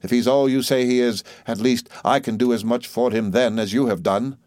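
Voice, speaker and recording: A man's voice: medium pitch, fairly even intonation, normal speed, a little mellow, dry recording, natural voice. A man voicing a fierce, blunt elderly aunt who scolds with indignation. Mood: scolding.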